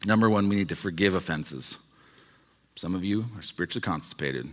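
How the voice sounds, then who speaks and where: A man's voice: low-pitched, brisk, fairly bright tone. A man in his early forties preaching a sermon as pastor, talking conversationally and checking in with the congregation with frequent "okay"s. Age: 40s. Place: church sanctuary.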